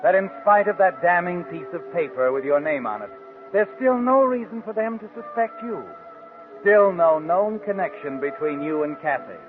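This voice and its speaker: Sinister radio narrator over music. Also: male voice, high-pitched, lively intonation, moderate pace. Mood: ominous, taunting. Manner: dramatic, taunting second-person delivery. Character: sinister, knowing narrator addressing the guilty protagonist. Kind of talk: 1940s radio mystery narration. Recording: muffled old radio, music underneath.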